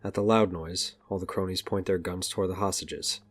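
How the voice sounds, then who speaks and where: Low-voiced man narrating an audio drama. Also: steady tone, fairly quick.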